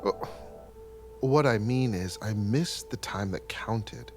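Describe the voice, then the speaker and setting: A man acting a regretful, lonely character looking back on lost time, speaking haltingly and with sighs. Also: lowish voice, over loud music. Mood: regretful, anguished. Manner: halting, sighing, wistful.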